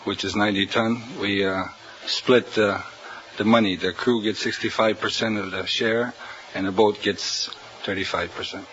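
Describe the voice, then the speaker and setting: Plainspoken halting man, bright voice. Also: lowish voice, steady tone, muffled recording. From San Pedro, California. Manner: plainspoken, halting with many uhs. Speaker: quiz contestant, fishing skipper. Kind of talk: hesitant guest answers.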